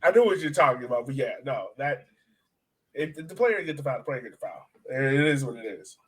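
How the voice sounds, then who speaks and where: A man co-hosting a soccer fan podcast, talking in a casual, excitable way. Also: mid-range voice, a little fast, rough recording. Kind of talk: casual sports podcast chat.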